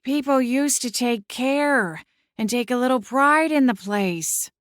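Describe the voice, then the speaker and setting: A woman narrator puts on an older aunt for an audiobook. She is eccentric and pushy, with a raised, brisk, demanding delivery. The sound is close and dry. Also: high voice, no echo, pitched above the performer's own voice. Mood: polite, insistent. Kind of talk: audiobook character acting.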